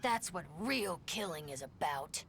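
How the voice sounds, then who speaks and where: A woman's voice: lowish voice, lively intonation, unhurried, a bit bright, a little hiss or hum. A woman playing an irritable, bloodthirsty young killer in a video game, snarling with contempt. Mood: scornful, irritated. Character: bad-tempered, bloodthirsty young woman killer, gloomy and scornful.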